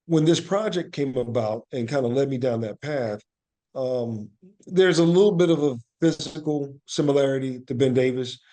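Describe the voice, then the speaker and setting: A man's voice: medium pitch, normal speed, dark tone. Earnest man reflecting in an interview. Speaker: interview guest, actor and playwright. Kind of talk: conversational podcast interview.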